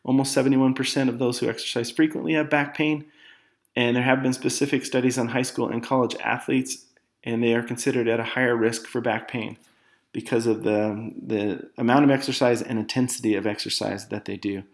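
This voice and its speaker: A man narrating an academic slide presentation as a student, halting at times with self-corrections and repeated words. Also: mid-range voice, fairly even intonation.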